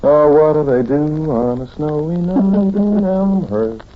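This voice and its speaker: A man's voice: a little high, measured pace, soft background music, close to an everyday voice. A man playing a wry, teasing, mildly bossy doctor, the leading man of a 1940s radio drama, speaking in a dry, musing way. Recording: muffled old radio recording, faint hiss.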